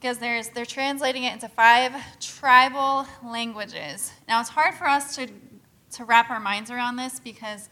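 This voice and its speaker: Bright, earnest missionary woman. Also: fairly high pitch, measured pace. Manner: casual, filler-heavy, earnest. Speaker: missionary speaker. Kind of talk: church presentation talk.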